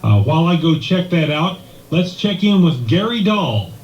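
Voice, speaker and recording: A man's voice: a little high, very animated, normal speed, a little mellow, dull audio. A man working as a radio DJ, narrating a scripted live report to listeners. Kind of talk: scripted radio broadcast narration with acted skit. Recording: echoey room, music behind.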